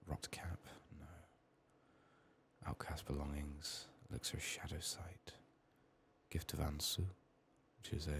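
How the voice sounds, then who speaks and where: A soft-spoken man murmuring through an ASMR game playthrough, often dropping into whispers, his voice a little strained from being sick. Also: rumbling low, creaky. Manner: murmured thinking aloud. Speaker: ASMR gameplay streamer. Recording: faint noise, keyboard typing and clicks. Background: keyboard typing, clicking, faint noise.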